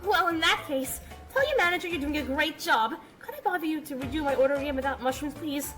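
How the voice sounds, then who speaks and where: A woman's voice: sits high, fast, a bit bright. A woman voicing a brash, pushy Canadian goose office worker who talks in business jargon in a cartoon comedy dub, with music behind. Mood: brisk, placated. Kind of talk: animated comedy comic dub.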